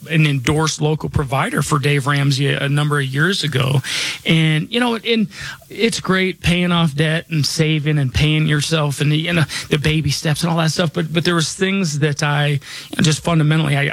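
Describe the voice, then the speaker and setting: A radio host in his forties talks in a casual, rambling way, restarting sentences and leaning on fillers. His voice is raspy and a bit hoarse, with a gravelly edge and a pitch on the higher side for a man. The sound is dry and close. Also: dry recording. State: slightly hoarse from chest trouble. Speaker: radio show host and financial advisor.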